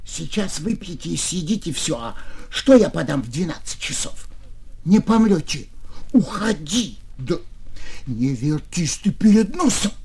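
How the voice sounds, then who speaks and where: A man's voice: on the high side, big pitch swings, measured pace, darkish tone, a little hiss or hum. A man voicing an irritable, bossy housewife who snaps curtly in a radio story. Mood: irritated. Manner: curt, snappish. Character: irritable, bossy housewife, snapping at her husband.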